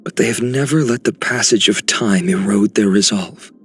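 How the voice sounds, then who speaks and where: A man playing an earnest, resolute young warrior in a video game, with a husky voice. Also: on the low side, moderate pace, a bit bright, slight background noise, close to an everyday voice. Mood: respectful resolve.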